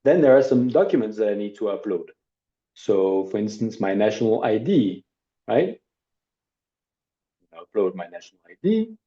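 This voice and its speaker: A man's voice: lowish voice, moderate pace, pauses often. Middle-aged man giving a video-call lesson. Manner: relaxed, conversational, with hesitations and asides. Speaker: workshop instructor. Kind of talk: live online training demo. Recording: dull lo-fi video call audio.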